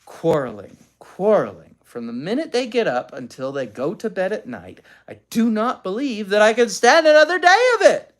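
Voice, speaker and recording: A woman voicing a weary, long-suffering mother in a children's storybook, calm and measured. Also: extremely low pitch, exaggerated voice. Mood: fed up. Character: weary, sighing storybook mother fed up with quarreling.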